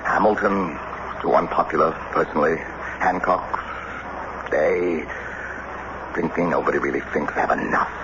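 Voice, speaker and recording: A man's voice: rumbling low, steady tone, moderate pace, long pauses. An actor playing a blunt, suspicious, irascible eighteenth-century American statesman in a radio drama, with a formal, emphatic manner. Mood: intense. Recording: noisy, muffled old radio broadcast recording.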